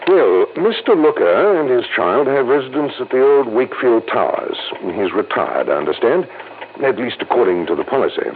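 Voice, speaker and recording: Man as anxious American company official, formal and hesitant, lively swooping delivery. Thin, hissy vintage radio sound. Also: mid-range voice, normal speed, phone-quality sound, natural voice. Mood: fussy, uneasy. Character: fussy, anxious male insurance company official. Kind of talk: 1950s American radio drama acting.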